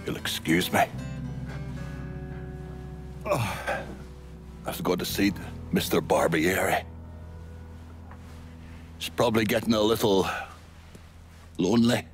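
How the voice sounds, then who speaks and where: An actor playing a bitter, middle-aged mobster with a wry, grieving, brooding manner in a video game cutscene. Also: male voice, deep voice, faint music behind. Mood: resigned, wry. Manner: slow, brooding, halting, swearing.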